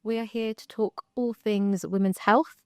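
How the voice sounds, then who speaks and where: A woman's voice: mid-range voice, fairly even intonation, a little slow, darkish tone, clean tone, no echo, clean studio sound. A young woman hosting a women's health podcast in a bubbly, chatty interviewing style. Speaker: podcast host. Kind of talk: casual podcast chat.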